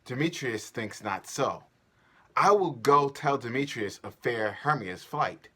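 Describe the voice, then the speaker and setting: A man's voice: medium pitch, lively intonation, measured pace, pushed and bright, slightly rough, heavily acted. A man playing a lovelorn, pining young Athenian woman, declaiming wistfully in a Shakespeare soliloquy.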